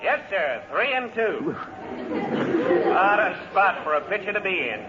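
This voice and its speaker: Through a muffled, noisy broadcast with crowd behind comes an old-time baseball announcer. He is folksy and excitable, drawing out the suspense in a high, swooping voice. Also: over loud music. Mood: suspenseful. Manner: dramatic, drawn-out suspense. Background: ballpark crowd.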